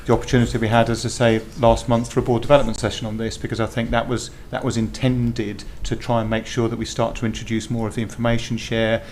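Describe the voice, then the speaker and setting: A man speaking as a health board executive, giving measured formal remarks full of restarts. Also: mid-range voice, level tone, darkish tone, slight background noise. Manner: measured, halting, many restarts. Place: board meeting room.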